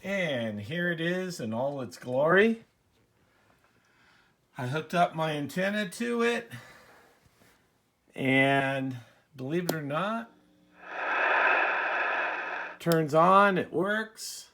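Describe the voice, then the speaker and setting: A man hosting a hobby video, explaining casually and unscripted, with halting pauses mid-sentence. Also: on the high side, expressive, sharp tone, a little hiss or hum.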